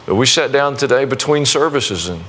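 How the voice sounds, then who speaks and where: A man preaching to his congregation in a conversational, folksy way, with many restarts and a warm self-deprecating humor, then leading a prayer. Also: mid-range voice, lively intonation, moderate pace, a little hiss or hum. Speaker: pastor.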